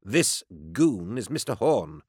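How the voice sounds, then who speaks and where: A man's voice: mid-range voice, big pitch swings, slow, darkish tone, husky, no room sound, clean studio sound, pitched above the performer's own voice, exaggerated voice. A man voicing a haughty, querulous academic, pompous and imperious, in an audiobook. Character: haughty, querulous male academic doctor, piqued and self-important.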